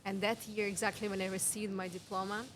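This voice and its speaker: A woman's voice: mid-range voice, flat delivery, fast talker, fairly bright tone, a little hiss or hum. A woman in her thirties from Serbia, a non-native English speaker with a Serbian accent, talking earnestly as a podcast guest and explaining health ideas through strings of questions. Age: 30s. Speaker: guest, women's health mentor. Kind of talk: conversational podcast interview. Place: cafe.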